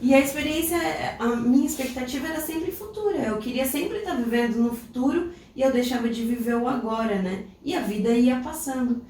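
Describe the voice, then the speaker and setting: A woman's voice: sits high, brisk, hardly any pauses, a little mellow. Brazilian woman in an echoey room. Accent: Brazilian Portuguese. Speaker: podcast co-host. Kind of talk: casual conversational storytelling. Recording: echoey room, distant mic, faint noise.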